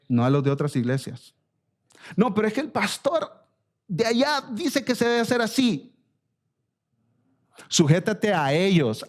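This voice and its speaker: A man's voice: on the high side, darkish tone. A man with a Latin American Spanish accent preaching an expository sermon as a pastor, emphatic and exhorting, repeating phrases and asking rhetorical questions. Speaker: pastor preaching to his church.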